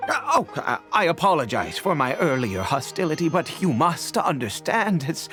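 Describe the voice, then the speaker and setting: An actor playing a weary, bitter, cursed immortal nobleman and grieving father in a video game, with a theatrical, halting, anguished delivery. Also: fairly high pitch, very animated, a bit bright, faint music behind. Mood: guarded, apologetic. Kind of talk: dramatic video game voice acting.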